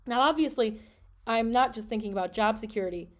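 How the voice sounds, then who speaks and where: Earnest woman podcast host, higher voice. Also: a little fast. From Michigan. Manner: earnest, concerned, reads out statistics. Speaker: podcast host and French teacher. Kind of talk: solo podcast commentary.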